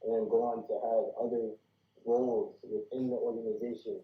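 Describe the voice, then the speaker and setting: A man's voice: mid-range voice, little pitch movement, normal speed. A man giving casual feedback in a group discussion over a video call. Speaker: participant giving script feedback. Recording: muffled, echoey video-call audio.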